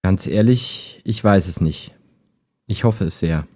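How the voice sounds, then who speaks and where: A mellow, low-pitched male chatbot, acted by a man, wistful and skeptical. He talks like an ordinary human in an intimate radio play, understated and a little hesitant. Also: slow pace. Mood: pensive.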